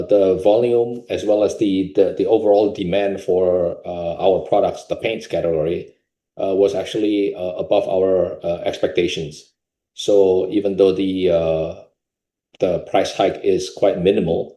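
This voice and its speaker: Low-voiced mellow man in an echoey room. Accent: Indonesian. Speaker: company executive answering questions. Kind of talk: unscripted investor Q&A answer. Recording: roomy, echoing sound.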